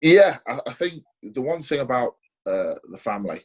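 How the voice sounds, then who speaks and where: A man's voice: mid-range voice, sing-song, normal speed, breathy edge. A man in his forties with a Sheffield accent, answering interview questions hesitantly, with lots of fillers and restarts. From Sheffield, England. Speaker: interview guest, former footballer.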